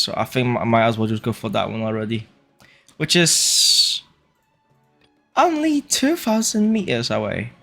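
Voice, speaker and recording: Male game streamer, average pitch. Offhand, thinking-aloud chat over faint game music. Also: vocal fry, fairly bright tone. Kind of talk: casual livestream chat while playing.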